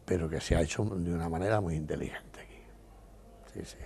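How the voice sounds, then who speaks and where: A man's voice: low voice, brisk, vocal fry, a little mellow, slight background noise. A man in his sixties giving an interview in a casual, rambling way, often quoting himself and others. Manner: rambling, quotes himself with repeated "dice". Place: exhibition hall.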